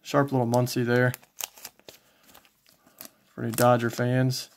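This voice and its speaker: A man casually commentating as he shows collectibles, in a low-key, folksy way, thinking aloud. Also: level tone, measured pace, a little hiss or hum. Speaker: trading card collector and video host. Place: indoors, small home tabletop setup.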